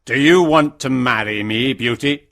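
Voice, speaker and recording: A woman voicing a big, ugly but kind male beast, slow and deep, in a storybook reading. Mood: tender. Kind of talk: graded reader storybook narration.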